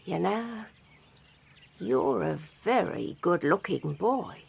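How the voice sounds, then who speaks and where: Slow, gravelly, flirtatious mature woman. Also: on the low side, lively intonation, a little mellow, heavily acted. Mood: languid, flirtatious. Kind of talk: radio drama dialogue.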